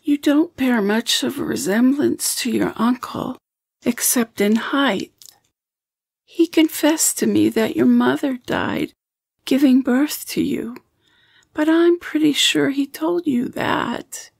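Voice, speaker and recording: A woman acts out a blunt, fond old woman for an audio drama. Her voice is creaky and unhurried, recorded dry. She grumbles and runs herself down as she talks. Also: high-pitched, darkish tone, no room sound, full character voice. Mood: serious.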